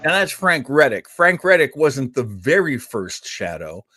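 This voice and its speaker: Animated man telling radio history. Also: medium pitch, measured pace, over loud music. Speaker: host presenting old-time radio shows. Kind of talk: informal storytelling lecture.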